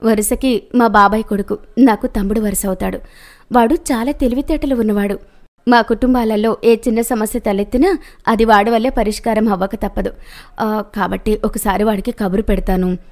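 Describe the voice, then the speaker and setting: A woman voicing a landlord's concerned, devoted wife in an animated moral story. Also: mid-range voice, normal speed, lightly acted. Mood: reassuring.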